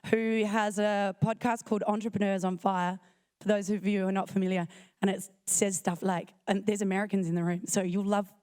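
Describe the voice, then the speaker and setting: A woman with a croaky, failing voice giving a chatty, self-joking conference talk. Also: medium pitch, level tone, brisk, a little mellow. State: croaky, losing her voice. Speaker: conference speaker. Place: conference hall.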